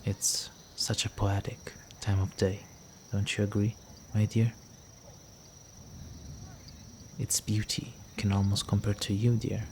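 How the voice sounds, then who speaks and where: A man plays an ancient, flirtatious vampire noble in an intimate fantasy audio roleplay. His low voice moves slowly and stays very even, courting the listener with formal, teasing seduction and quiet menace. Music plays behind him. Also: a little hiss or hum. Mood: dreamy, seductive.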